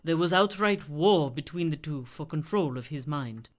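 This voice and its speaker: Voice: mid-range voice, lively intonation, normal speed, lightly acted. An actor in a radio play playing a mysterious veiled swami who tells strange tales in a grave, formal, portentous manner. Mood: grave, cryptic.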